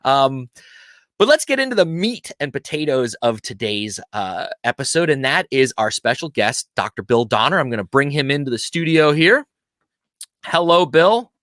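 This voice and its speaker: Man, from Pennsylvania, live-stream host, friendly chatty greetings, mid-pitched voice, dry close sound. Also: big pitch swings, normal speed, fairly bright tone, no room sound. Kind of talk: casual live-stream hosting.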